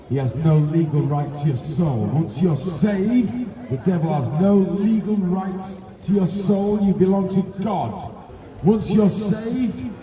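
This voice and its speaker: A male street preacher declaims an evangelistic sermon one emphatic phrase at a time. His voice is high and very measured, ringing with long echo from a loudspeaker some way off, over a clear crowd. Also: recorded from a distance. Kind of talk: open-air evangelistic sermon. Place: outdoors on a busy pedestrian shopping street. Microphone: handheld PA microphone, filmed from several metres.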